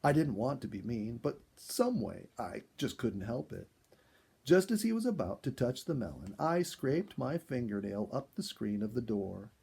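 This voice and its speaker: Animated, gravelly male storyteller. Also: mid-range voice, moderate pace. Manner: expressive, acts out dialogue and exclamations. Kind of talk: dramatic narrated animal story read aloud, first person.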